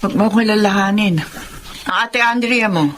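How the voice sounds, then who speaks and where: A woman's voice: mid-range voice, moderate pace, a little hiss or hum, close to an everyday voice. An actress playing a strict, hardworking single mother who scolds her daughter, in a radio drama. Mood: caring. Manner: scolding, sharp. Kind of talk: Filipino radio drama acting.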